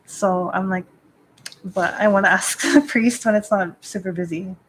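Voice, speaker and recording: A woman chats on a live stream at a middle pitch, thinking aloud and circling back on herself. She fills nearly every pause with "like" and breaks into small laughs as she goes. A faint hiss sits under her voice. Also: poor audio. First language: not Japanese. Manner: rambling, lots of "like" fillers, laughs often. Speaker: Shinto priestess hosting a live stream. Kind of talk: casual live-stream chat.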